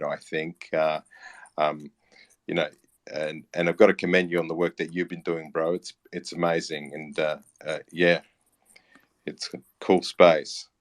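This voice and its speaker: A man in his late thirties with an Australian accent talks casually as an interview guest. His voice is low, and his delivery is matey and halting. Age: late 30s. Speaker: interview guest, music platform founder and teacher.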